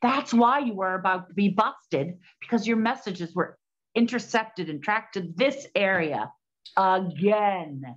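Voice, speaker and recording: A woman playing a brisk, no-nonsense 1920s lady detective in an audio drama. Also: mid-range voice, dull audio, natural voice. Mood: urgent, reproving.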